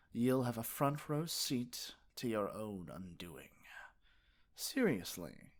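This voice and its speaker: A man playing a pompous, easily exasperated supervillain in an ASMR roleplay, theatrical and sarcastic with a softer caring side. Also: mid-range voice. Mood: grandiose gloating. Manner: pompous mock-grand monologuing that slips into flustered sarcasm. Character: theatrical gloating male supervillain, exasperated, secretly caring nemesis.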